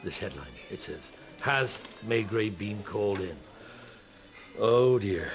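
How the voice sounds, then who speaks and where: A man's voice: fairly low pitch, vocal fry. An actor playing a pensive middle-aged police detective in a radio drama, musing and hesitant as he mutters his thoughts. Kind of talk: naturalistic radio drama acting.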